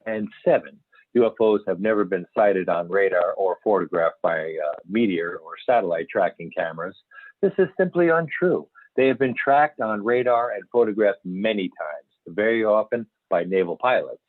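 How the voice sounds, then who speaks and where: A man playing an earnest, assured UFO scientist in an amateur script reading, explaining emphatically with some halting stumbles. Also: fairly low pitch, close to an everyday voice. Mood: assured, explanatory. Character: male expert scientist investigating UFO reports, earnest and assured. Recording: tinny phone-like conference call, echoey room.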